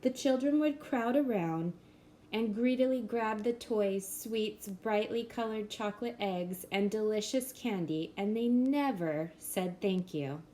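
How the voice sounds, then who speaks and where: Woman narrator, children's picture book read-aloud, slow and gentle, faint room noise. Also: medium pitch. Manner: slow, gentle storybook reading. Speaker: storyteller.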